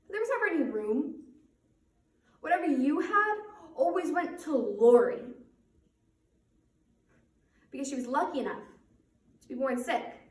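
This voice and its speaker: A woman's voice: extremely high pitch, a bit bright, heavily acted. A woman performing a dramatic stage monologue as a resentful, hurt teenage daughter, with aching, emphatic phrasing. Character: resentful, wounded teenage daughter confronting her mother. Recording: roomy echo, distant microphone.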